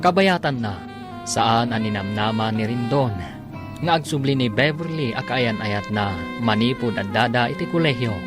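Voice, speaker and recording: A man's voice: mid-range voice, expressive, normal speed. A man narrating a scripted radio drama as its announcer, with a formal, dramatic delivery. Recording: music behind the voice.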